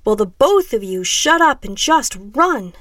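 A woman's voice: fairly high pitch, normal speed, no room sound, studio quality, recorded from a distance, lightly acted. A woman voicing an angry, bossy young woman who snaps harshly and impatiently in an audiobook story. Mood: furious. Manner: harsh, snapping.

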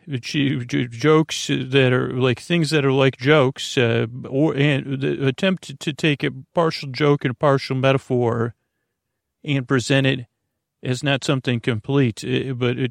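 A man hosting a bedtime sleep podcast with a creaky voice, meandering and halting in a goofy, self-deprecating way. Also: mid-range voice, no echo, clean studio sound. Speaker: podcast host.

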